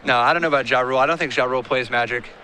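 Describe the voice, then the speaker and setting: Bright-voiced joking male commentator. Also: medium pitch, very fast, a little hiss or hum. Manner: casual, joking, uses "dude" and "man". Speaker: color commentator. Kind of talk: live tournament commentary with banter.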